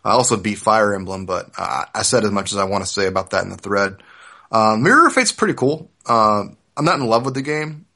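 A man in his thirties with a fairly low-pitched voice hosts a casual podcast. He talks informally and explains things in a conversational way. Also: animated, fairly quick, pushed and bright. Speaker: podcast host.

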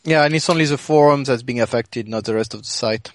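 A man with a medium-pitched voice chats as a podcast co-host. He is hesitant, often padding his sentences with "you know", and the sound is dry with no room echo. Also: fast. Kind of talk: casual chat.

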